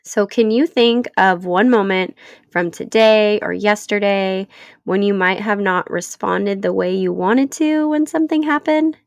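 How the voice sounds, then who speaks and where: Woman podcast host, thirties, stuffy from a cold, slow and earnest, dry close mic. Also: medium pitch, vocal fry, no echo. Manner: earnest, encouraging, unhurried. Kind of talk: solo podcast talk.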